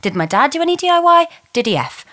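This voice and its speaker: Woman on a comedy podcast, highish voice, rambly animated self-mocking chat. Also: fast talker. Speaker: podcast guest. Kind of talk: casual comic chat.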